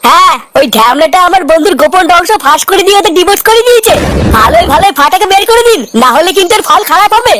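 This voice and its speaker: A performer voicing a vengeful village tough in a comedy cartoon, gruffly threatening and foul-mouthed. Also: extremely high pitch, expressive, very fast, background noise.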